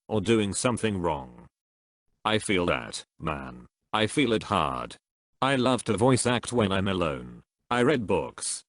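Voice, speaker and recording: A man reading online comments aloud in an even, steady way. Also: lowish voice, no room sound.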